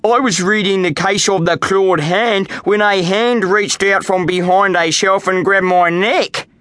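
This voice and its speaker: A woman's voice: very high-pitched, wildly swooping pitch, drawn-out words, pushed and bright, dry recording, pitched above the performer's own voice, heavily acted. A woman voicing whiny, squeamish schoolchildren with wailing delivery in a children's storybook. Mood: lamenting. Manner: wailing, screechy.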